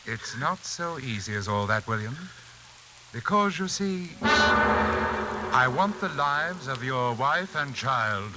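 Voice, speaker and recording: An actor playing a haughty, menacing hypnotist in a 1940s radio suspense drama, with velvety tones and pompous, formal diction. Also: male voice, medium pitch, very animated, takes it slowly, over music, rough recording. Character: sinister, haughty hypnotist brother-in-law, cold and menacing. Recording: hissy 1940s radio broadcast recording.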